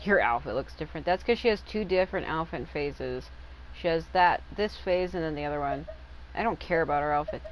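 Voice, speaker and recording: A woman's voice: low voice, fairly quick, slight background noise, poor audio. A woman giving casual, informal commentary as she plays a video game. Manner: informal, swears freely. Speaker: gaming video creator. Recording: game music behind the voice.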